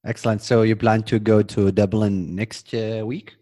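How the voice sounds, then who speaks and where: A man hosting a podcast interview, talking in a relaxed way with some stumbles and "like" fillers. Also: lowish voice, moderate pace. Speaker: podcast host. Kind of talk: casual interview chat.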